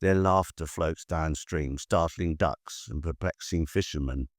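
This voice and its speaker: A man reading spoken-word poetry expressively, in measured, deliberate phrases with dramatic pauses. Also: extremely low pitch, no echo, clean studio sound. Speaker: poet and podcast host reading own poem.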